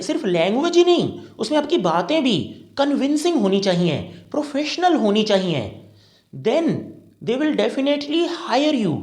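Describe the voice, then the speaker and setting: A man's voice: extremely high pitch, echoey room. A man with an Indian accent, a career trainer explaining patiently and checking that his listeners follow. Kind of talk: classroom-style explanatory talk.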